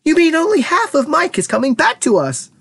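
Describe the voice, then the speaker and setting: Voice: medium pitch, wildly swooping pitch, slow, pitched above the performer's own voice, full character voice. A reader voicing a hysterical, fretful mother in a children's story, shrieking and wailing melodramatically. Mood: horrified.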